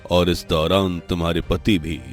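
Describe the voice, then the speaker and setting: A man's voice: sits low, flat delivery, moderate pace, faint music behind, lightly acted. A man voicing a stern holy sage with divine powers in a cartoon story, solemnly pronouncing judgement. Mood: solemn instruction. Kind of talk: Hindi cartoon story dialogue.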